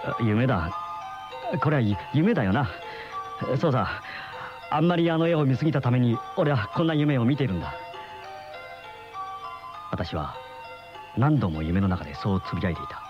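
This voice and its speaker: An actor playing an uneasy middle-aged husband in a radio mystery, with brooding, dramatic storytelling. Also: male voice, mid-range voice, sing-song, normal speed, pauses often, natural voice. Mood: bewildered. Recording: muffled old radio drama recording, music behind.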